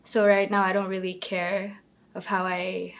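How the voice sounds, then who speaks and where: A woman's voice: mid-range voice, monotone, a little slow. A woman speaking candidly and a bit hesitantly in a group discussion. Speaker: interview participant.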